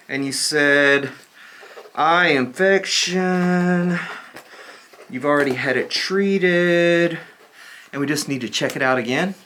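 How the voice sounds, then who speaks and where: A man plays an unsettling, slightly deranged male doctor in an ASMR roleplay. He speaks softly at a medium pitch, halting and repeating himself in a distracted, insistent way. There is some background noise on the recording. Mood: muddled, awkward. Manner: halting, repetitive, distracted muttering. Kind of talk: soft-spoken ASMR roleplay.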